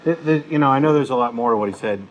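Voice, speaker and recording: A man's voice: mid-range voice, racing, highs cut off. Male co-host commenting, faint noise behind. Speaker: talk show co-host. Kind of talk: conversational commentary. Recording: steady background noise.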